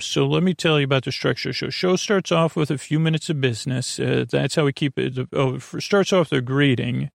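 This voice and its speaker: A man with a creaky voice hosting a sleep podcast, meandering gently, mumbling and stumbling over his words as he trails off. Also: mid-range voice, dry recording, clean studio sound. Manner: gentle, lulling. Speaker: podcast host. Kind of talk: rambling bedtime sleep podcast monologue.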